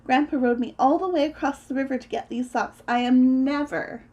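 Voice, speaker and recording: A woman voicing an excitable, stubborn little girl in a children's storybook, exclaiming gleefully with emphatic repetition. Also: fairly high pitch, normal speed, voice pushed up, close to an everyday voice. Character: excitable, stubborn little girl who loves her socks. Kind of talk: children's storybook read-aloud.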